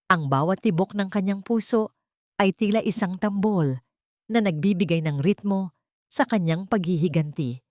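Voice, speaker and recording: A woman tells a scripted drama with solemn, deliberate delivery. Her voice sits on the low side, and the recording is very dry, with no room sound around it. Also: a little mellow, no room sound. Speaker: audio drama narrator. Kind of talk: dramatic scripted story narration.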